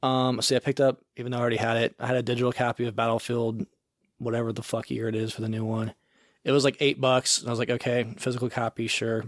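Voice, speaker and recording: A man's voice: mid-range voice, fast, dry recording, clean studio sound. Laid-back man rambling on a podcast. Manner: relaxed, rambling, casual swearing. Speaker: podcast co-host. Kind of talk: casual chat.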